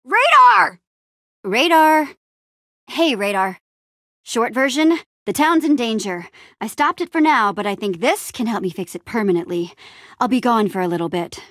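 An upbeat young female adventurer from a video game, played by a woman. Her voice sits fairly high and swings expressively through its range, recorded close and dry. She talks in a friendly, casual, quippy way, like a small-town hero. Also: animated, moderate pace, dry recording, close to an everyday voice. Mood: urgent. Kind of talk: video game character acting.

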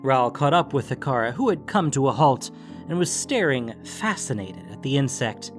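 A man's voice: medium pitch, very animated, moderate pace. A man narrating a scripted fantasy story as the narrator of an audio production. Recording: music under the voice.

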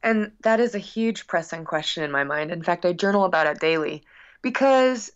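A woman's voice: on the low side, animated, moderate pace, clear, resonant tone. Earnest woman musician, slightly muffled recording. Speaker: guest musician. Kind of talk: reflective casual interview talk. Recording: dull, lo-fi sound.

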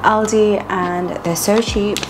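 A woman's voice: mid-range voice, fairly even intonation, takes it slowly, heavy background noise. A woman, chatting casually as she talks viewers through a home cooking recipe step by step. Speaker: cooking vlogger. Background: background music.

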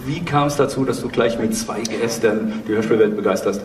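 A man's voice: on the low side, normal speed, darkish tone. A man in his forties hosting a live panel talk on stage. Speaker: panel host, audio drama label maker. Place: on stage in a dark event hall. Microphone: handheld microphone, heard through room. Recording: echoey hall, distant, clear background noise.

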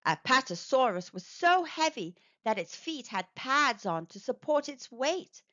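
A woman reading a scripted children's book aloud, with deliberate, emphatic articulation for young listeners. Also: a little high, bright, forceful tone, no room sound, muffled recording. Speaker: children's storybook reader.

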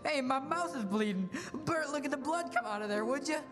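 A young woman acting an overjoyed, desperate family man in a radio play, with an excitable, exclamatory delivery. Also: sits high, fairly quick. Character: overjoyed, desperate family man given his life back. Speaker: student actor. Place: school auditorium stage. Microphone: stand microphone. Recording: echoey school stage, music behind.

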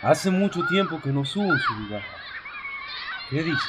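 A man playing a gentle, concerned father in a Latin American Spanish video game dub. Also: fairly high pitch, sing-song, moderate pace, a faint crowd. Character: gentle, apologetic father of a young boy. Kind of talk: dubbed video game drama dialogue. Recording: noisy outdoor ambience.

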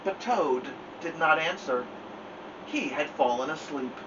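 A man's voice: a little high, animated, a little slow, distant mic, lo-fi sound, background noise. A man in his thirties reading a children's story aloud expressively as a story time host. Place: small room at home.

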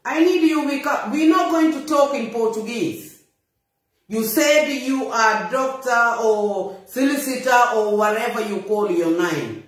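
A middle-aged woman with a Bissau-Guinean accent, delivering a blunt, indignant and emphatic confrontational monologue to a phone camera in a small room. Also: medium pitch. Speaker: vlogger. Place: small bare room indoors. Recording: very echoey room.

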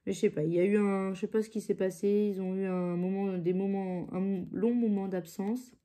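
A woman's voice: medium pitch, steady tone, moderate pace, warm tone. A woman with a blocked nose from a cold, chatting casually and hesitantly at her craft table, full of fillers and restarts. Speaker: craft channel host. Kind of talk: casual chat while crafting. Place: home craft table, indoors.

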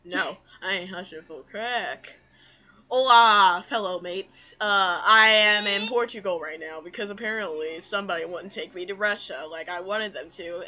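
A woman voicing a hot-tempered cartoon object in an improvised fandub, giggly and halting as she ad-libs mock threats. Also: medium pitch, bright, forceful tone, close to an everyday voice.

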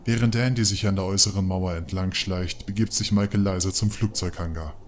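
A man's voice: sits low, steady tone, fairly quick, a little hiss or hum. A man giving scripted narration for an audio drama.

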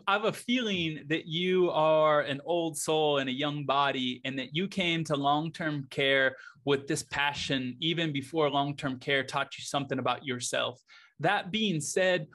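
A man in his thirties hosts a video-call interview from a home office. His voice sits a little high. He asks his questions gently and appreciatively, with pauses and small fillers as he finds his words. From United States. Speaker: host.

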